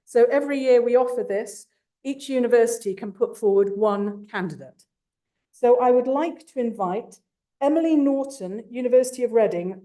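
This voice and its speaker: A woman giving a formal awards speech, enthusiastic and warm, with small asides to the audience. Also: fairly high pitch. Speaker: awards presenter.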